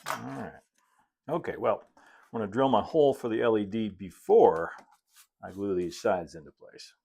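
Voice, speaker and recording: A middle-aged American man talks through a hands-on project in a fairly low voice with a gravelly edge. His delivery is casual and unhurried, with a folksy habit of thinking aloud as he works. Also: warm tone, a little hiss or hum. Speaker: host, model railroad builder. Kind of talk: casual hands-on tutorial talk while working. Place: indoor hobby workshop at a cluttered workbench and layout.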